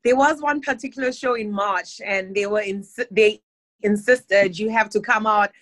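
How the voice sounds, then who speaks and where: A woman's voice: mid-range voice, fairly even intonation, normal speed, fairly bright tone, rough recording. A woman from Zimbabwe, a guest musician, chatting easily in an interview and laughing often. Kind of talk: casual interview chat. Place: based in London, on a remote call.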